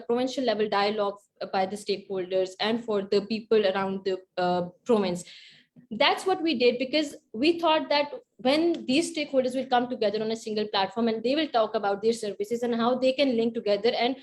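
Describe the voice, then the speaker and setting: A woman with a Pakistani accent explaining her advice as a panelist, earnest and emphatic, repeating key points. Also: on the high side. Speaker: panelist, project grantee.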